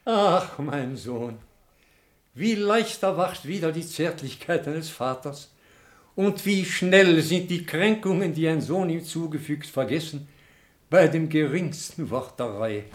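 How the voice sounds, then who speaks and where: Pious old dad in radio drama, highish voice, slow emotional speechifying. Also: male voice, expressive. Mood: moved, grateful. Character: pious, tender elderly father of a wayward nobleman. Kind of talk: German radio play acting, theatrical.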